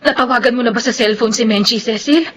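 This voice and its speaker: A woman's voice: fairly high pitch, fast, over loud music, close to an everyday voice. A woman playing an anguished middle-aged mother in a Filipino radio drama, emotional and pleading. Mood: anxious worry.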